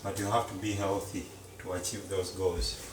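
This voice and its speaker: A man, a Luganda speaker, chatting casually to viewers as he cooks in a home kitchen, slow and repetitive. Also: deep voice, little pitch movement, roomy sound, distant mic, background noise. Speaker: cooking vlogger. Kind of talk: casual live-stream chat.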